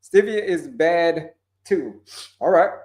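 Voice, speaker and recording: A man's voice: fairly high pitch, expressive, slow pace, a little mellow. A man coaching on diabetes, answering viewer questions in a casual, emphatic way, repeating points and dropping slang. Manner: repetitive, emphatic, slangy, addresses viewers as folks.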